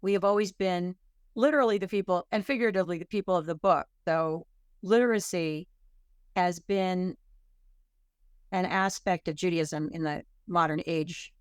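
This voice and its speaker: A woman's voice: on the low side, normal speed, smooth, no echo, studio quality. A woman guest in an interview, answering in a chatty way with many fillers and restarts. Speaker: author and klezmer singer, guest.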